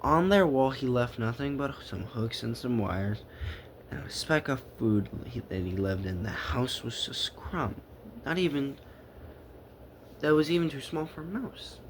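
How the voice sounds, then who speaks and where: A man reading a children's story aloud in a halting way, stumbling over the text now and then. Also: mid-range voice, lively intonation, slight background noise.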